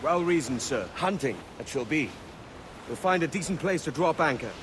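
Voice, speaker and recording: An actor playing a brash, cocky young pirate captain with a Welsh accent and a wry, bantering manner in a video game. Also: male voice, fairly high pitch, animated, normal speed, background noise, natural voice. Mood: easy, wry. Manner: wry, bantering, seafaring turns of phrase. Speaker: ship's captain. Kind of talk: video game cutscene acting.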